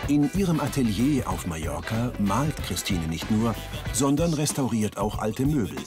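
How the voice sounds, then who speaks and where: A man's voice: fairly low pitch, big pitch swings, normal speed, over music. A man narrating a travel documentary in a scripted voice-over.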